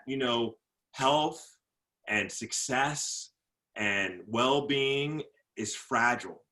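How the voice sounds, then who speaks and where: Earnest motivational speaker guy, bright forceful voice, repeats himself while thinking. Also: mid-range voice. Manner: earnest, repeats words while gathering thoughts. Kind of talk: casual interview talk.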